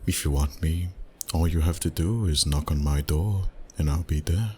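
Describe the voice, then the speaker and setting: A man voicing a dominant, flirty, suave vampire boss in an intimate roleplay ASMR style, teasing and unhurried, with courtly phrasing and pet names. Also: extremely low pitch, a little mellow, slight background noise. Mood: seductive.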